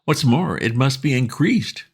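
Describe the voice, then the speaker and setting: A man voicing an excitable, lovestruck young gentleman who pleads and gushes in a raised voice. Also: medium pitch, measured pace, creaky, slightly rough, pitched above the performer's own voice, heavily acted. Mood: impatient. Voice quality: eager, pleading, gushing.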